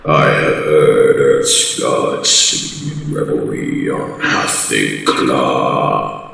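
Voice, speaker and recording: An actor playing an arrogant old prophet in a radio horror drama, proclaiming grandly in drawn-out cries. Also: male voice, very deep voice, vocal fry, a bit bright, voice pushed down, full character voice. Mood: triumphant, fanatical. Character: exultant, arrogant old male prophet on a mountain. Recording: cavernous long echo, distant, faint noise.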